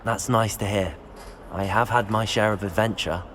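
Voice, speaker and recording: Male actor as calm, formal young wizard student for a game; lower register, measured, noisy ambience behind. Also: lightly acted. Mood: calm, modest. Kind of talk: scripted video game dialogue.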